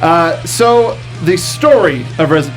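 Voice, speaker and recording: A man's voice: fairly high pitch, lively intonation, slow pace, low-quality recording. A man hosting a casual panel talk show in a jokey, self-correcting manner. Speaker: host. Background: music.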